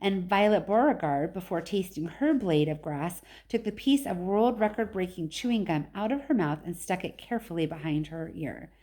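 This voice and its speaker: A woman narrates a children's story aloud, expressively, with deliberate and emphatic phrasing. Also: fairly low pitch, animated, dark tone. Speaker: read-aloud storyteller, teacher.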